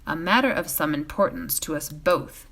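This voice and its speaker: A woman's voice: low-pitched, expressive, moderate pace, gravelly, a bit bright, full character voice. Woman reading as a knowing, secretive wanderer. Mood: knowing, reproving. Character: knowing, secretive male wanderer in a fantasy tale.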